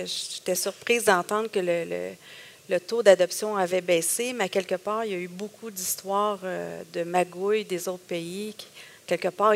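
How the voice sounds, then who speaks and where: Gravelly middle-aged Québécois woman. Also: mid-range voice. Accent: Québécois French. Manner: emotional storytelling with hesitations and self-quotes. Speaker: guest. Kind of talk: personal testimony in a conversation.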